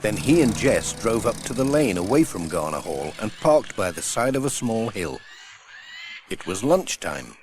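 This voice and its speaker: A man's voice: on the low side, big pitch swings, moderate pace. A man narrating a children's story as an audiobook reader, from a script. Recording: faint noise, old audiobook transfer.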